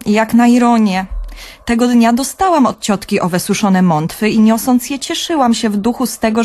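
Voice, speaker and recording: An actress playing a wry younger sister who narrates a radio play. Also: female voice, mid-range voice, darkish tone, lightly acted. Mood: wry, confiding. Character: wry, girlish younger sister telling her story.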